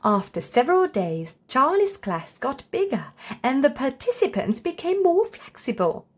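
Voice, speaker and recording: A woman's voice: high voice, sing-song, normal speed. A woman storyteller reading a children's book aloud as scripted narration.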